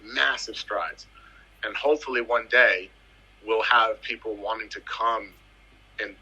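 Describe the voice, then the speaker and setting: Bright husky-voiced man from the Virgin Islands. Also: on the low side, measured pace, low-quality recording. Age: 30s. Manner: animated, emphatic, repeats phrases for effect. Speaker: footballer guest. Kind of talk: casual interview storytelling.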